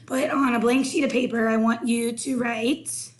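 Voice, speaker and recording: A hoarse woman teaching a recorded math lesson, explaining each step slowly. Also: a little high, fairly even intonation.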